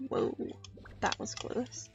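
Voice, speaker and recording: A woman giving casual solo commentary on a game stream in a playful, dryly self-deprecating, halting way. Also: loud music behind the voice. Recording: dull lo-fi mic with faint noise and clicks.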